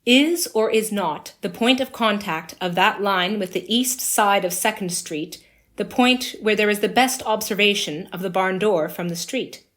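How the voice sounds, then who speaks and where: A woman's voice: mid-range voice, measured pace, fairly bright tone, lightly acted. A woman playing a formal court clerk reading back the record in a trial drama. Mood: neutral.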